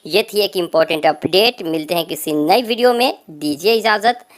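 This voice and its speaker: Indian lady doing a tech news update, kinda low voice, chatty, talks right to viewers. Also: normal speed. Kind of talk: informal news update narration addressed to viewers.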